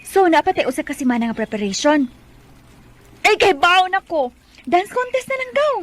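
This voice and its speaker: Excitable fifteen-year-old village best friend, Cebuano Filipino accent, very high and animated, dramatic radio acting. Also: female voice, normal speed. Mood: chatty. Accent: Filipino, Cebuano-speaking. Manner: teasing, exclaiming, dramatic.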